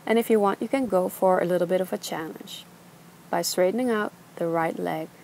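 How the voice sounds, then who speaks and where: A young woman teaching a gentle yoga class, giving slow, soothing instructions. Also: fairly low pitch, creaky, slight background noise. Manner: calm, slow, soothing cues. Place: outdoors on a beach.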